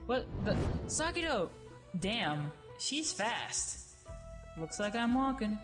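A woman's voice: a little high, very animated, slow, over music. A woman voicing a sarcastic, brash young dead woman in a fan radio play, snappy and exclaiming. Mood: snarky.

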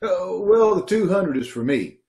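A man's voice: sits high, very animated, a little slow, dark tone, heavily acted. A man acting a hapless, matter-of-fact jobless brother in an old-time radio comedy.

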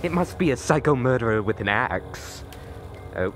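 A man's voice: mid-range voice, lively intonation, moderate pace, faint music behind. A man vlogging outdoors in the woods at night on a handheld camera, stammering nervously with repeated words and voice cracks. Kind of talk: mock-scared comedic night vlog.

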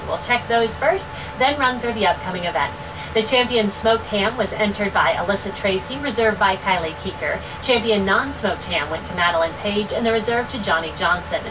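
A young woman reads a scripted daily fair update in a steady, upbeat manner, her voice sitting at an ordinary middle pitch. She is set back from the microphone, and a clear hiss runs under her voice. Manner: steady, upbeat reading of results and schedules. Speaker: radio station fair update host. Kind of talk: scripted news update reading. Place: studio with dark backdrop. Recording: distant mic with noticeable hiss.

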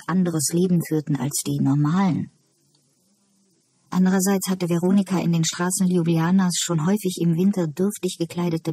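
An audiobook narrator reads literary prose at a medium pitch. The voice is very breathy and husky, with a dark, mellow tone. The sound is dry. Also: no room sound. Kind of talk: scripted literary narration, reading a novel aloud.

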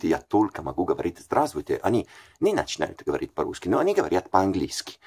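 Italian man, 40s, low voice, casual hesitant vlog to a desk camera in a small room. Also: darkish tone, husky. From Italy. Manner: hesitant, many fillers and self-corrections. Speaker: language learner vlogger. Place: small bright room indoors.

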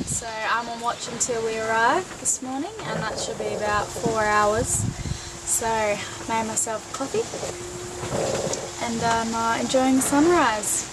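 A woman's voice: on the high side, fairly even intonation, measured pace. A young woman in her twenties filming herself in a boat cockpit, playful and self-mocking. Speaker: sailing vlogger. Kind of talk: casual video diary. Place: in a sailboat cockpit out at sea. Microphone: handheld camera at arm's length. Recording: loud wind and boat noise.

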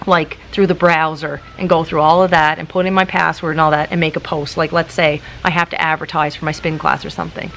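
A woman in her late thirties talking calmly and practically to camera, chatty and casual, recorded outdoors in a garden with some wind. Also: fairly low pitch, rough recording. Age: late 30s. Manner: calm, practical, chatty with many likes. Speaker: YouTuber. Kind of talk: casual vlog talk to camera. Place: outdoors in a garden in front of hedges.

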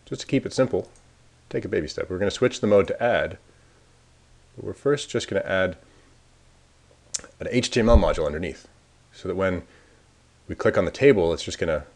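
A man casually talking through a software demo, thinking aloud as he clicks around the screen. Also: low voice. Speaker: software developer demoing a tool. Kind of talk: screencast walkthrough.

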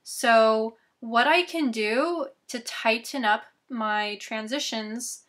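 A young woman in her twenties who is losing her voice, walking viewers through video editing steps slowly and clearly from a small bedroom. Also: on the high side, fairly bright tone. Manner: slow, step-by-step instructions, chatty asides. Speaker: YouTube tutorial host. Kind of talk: screen-recorded software tutorial.

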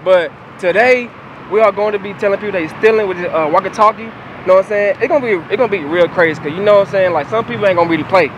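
A man's voice: sits high, very fast, noisy background. A young American man hosting pranks in casual, slangy banter, often repeating himself. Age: young adult. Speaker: prank show host. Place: outdoor parking lot and inside a large store.

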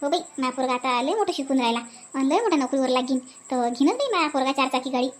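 A woman's voice: sits high, animated, fast, pitched below the performer's own voice. A woman voicing a jealous, boastful middle-aged village aunt in a comic cartoon, with a Varhadi Marathi accent and a nosy, scornful manner. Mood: envious, wishful. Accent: Varhadi Marathi from Vidarbha. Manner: nosy, scornful, muttering to herself.

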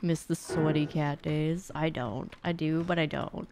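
A woman's voice: low voice, steady tone, measured pace, background noise. A young adult woman giving casual, blunt, sweary live-stream commentary while speedrunning a game. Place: small indoor streaming room at home.

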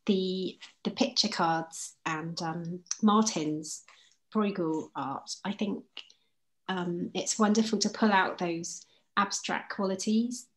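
A woman's voice: fairly low pitch, slow pace, low-quality recording. A woman poet on a video call, talking informally and reflectively as she answers questions. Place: indoors at home, on a group video call.

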